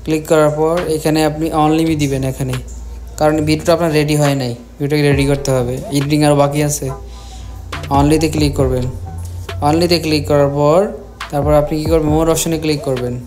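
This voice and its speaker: A man with a Bangladeshi accent giving step-by-step software instructions as a tutorial host, repeating his phrases as he goes. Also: on the high side, flat delivery, fairly quick. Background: music.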